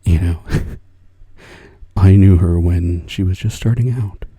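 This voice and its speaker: An older man chatting softly in a whisper as an ASMR artist, rambling and soft-spoken. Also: deep voice, takes it slowly, slightly rough, no echo.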